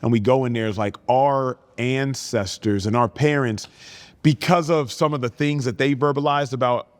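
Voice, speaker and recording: A man's voice: medium pitch, normal speed. A man in his thirties, a podcast guest talking reflectively in casual chat and searching for words. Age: 30s. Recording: long hall reverb.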